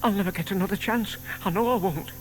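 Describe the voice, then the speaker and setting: An older man acting a timid, lovestruck gentleman in a children's audio drama, earnest and nervously muttering. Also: high voice, fast talker, slight background noise, lightly acted. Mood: nervous, excited.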